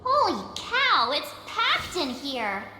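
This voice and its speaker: High, animated, teasing young tour guide. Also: female voice, slow, slight background noise, exaggerated voice. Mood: amazed. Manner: teasing, theatrical. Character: brash, playful young woman acting as tour guide. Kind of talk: animated video game character dialogue. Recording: roomy sound over background music.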